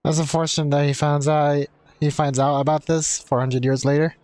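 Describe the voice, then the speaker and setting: A man's voice: a little high, level tone, normal speed, a little mellow, no echo. A man streaming a game, giving casual commentary in a musing, somewhat hesitant way.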